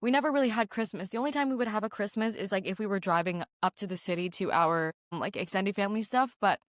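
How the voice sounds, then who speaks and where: A woman's voice: medium pitch, quick pace, no room sound, clean studio sound. Candid young woman telling her story. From Canada. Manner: candid, frequent "like" fillers. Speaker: podcast host. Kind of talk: personal storytelling.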